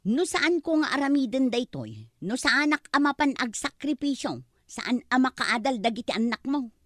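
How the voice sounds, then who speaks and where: A woman's voice: high voice, very animated, normal speed, dry recording, studio quality. A woman with an Ilocano accent playing a plaintive, headstrong young woman in a comedy-drama. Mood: earnest, pleading.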